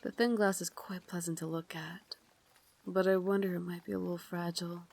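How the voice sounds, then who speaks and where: A woman playing a refined, tender but tormented Victorian vampire lady in an ASMR roleplay, speaking in a formal, genteel way with breathy asides. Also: on the low side, level tone, brisk, a little mellow. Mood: poised, wistful. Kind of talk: ASMR roleplay, dramatic monologue.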